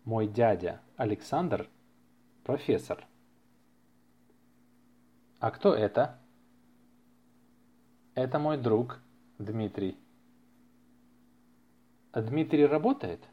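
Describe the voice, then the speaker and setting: Male language-teacher podcast host, mid-pitched, very slow and deliberate, careful repetition, slightly muffled lo-fi sound. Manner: deliberate, carefully enunciated, repeats phrases for learners. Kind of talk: slow language-lesson podcast reading practice dialogues.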